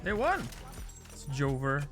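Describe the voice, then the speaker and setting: A fairly high, bright male voice, joking and swearing freely through a relaxed live game stream. He is from Portugal and drops in silly meowing noises while he talks. Faint music plays underneath. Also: slow pace. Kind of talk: casual gaming stream chat.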